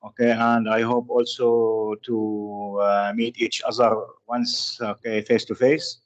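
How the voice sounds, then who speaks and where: A man in his fifties from Syria gives a slow, careful self-introduction full of pauses. The sound is a muffled video call line. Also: lowish voice, flat delivery. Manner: slow, careful, many hesitations. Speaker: student. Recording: dull lo-fi video call audio.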